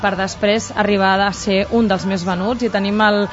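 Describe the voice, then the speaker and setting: A woman with a Catalan accent, presenting and interviewing on the radio in a clear, measured way. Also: medium pitch, fairly even intonation. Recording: dull lo-fi radio sound with faint music.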